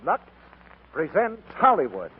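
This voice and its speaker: A man's voice: fairly high pitch, huge pitch swings, unhurried, narrow, tinny audio, heavy background noise. A man with an American accent announcing a 1940s radio show, on an old band-limited broadcast recording. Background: loud music.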